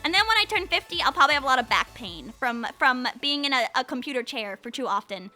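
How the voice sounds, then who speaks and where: A young woman hosts a casual livestream chat in a very high, strikingly bright voice. Her intonation moves around a lot as she rambles playfully from one thought to the next, talking to her viewers. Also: big pitch swings, quick pace, soft background music. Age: 20s. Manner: playful, rambling, says "like" constantly. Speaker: livestream host chatting with viewers.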